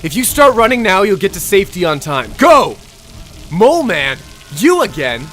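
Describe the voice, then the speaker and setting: A man's voice: high voice, very animated, moderate pace, fairly bright tone, faint music behind. A man playing a cocky, hot-headed young superhero with a brash, taunting way of talking in a scripted drama. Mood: urgent.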